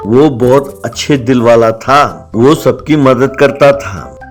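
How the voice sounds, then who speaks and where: A man's voice: mid-range voice, slow. A man reading a scripted moral story as its narrator. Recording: music behind the voice. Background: background music.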